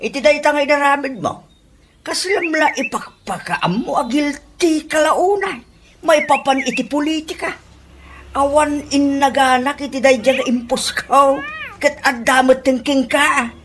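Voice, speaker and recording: A woman's voice: high voice, measured pace, fairly bright tone, a little hiss or hum. A woman with an Ilocano accent playing a sly, mocking woman in a comedy radio drama. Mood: defiant, taunting.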